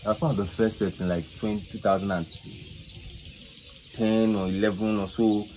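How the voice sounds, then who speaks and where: A man's voice: lowish voice, flat delivery, normal speed. A man in his twenties from Nigeria, a medical student being interviewed outdoors, talking hesitantly with many fillers. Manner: hesitant, heavy use of fillers. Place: outdoors at a scenic park. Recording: outdoor recording with loud background noise.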